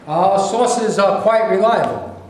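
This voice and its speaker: A man's voice: high-pitched, slow. A man acting the part of a formal, insistent government investigator in a staged reading of a play. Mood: firm, pressing. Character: firm, formal male government war-crimes investigator. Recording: very echoey room, light noise.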